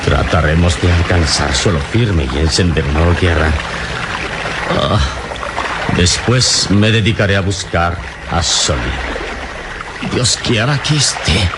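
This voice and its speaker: A heroic adventurer with a very low voice speaks calmly and courteously in an old radio drama. Loud watery noise surrounds his resolute words. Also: a little slow, pauses often. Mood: calm concern. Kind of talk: radio serial acting.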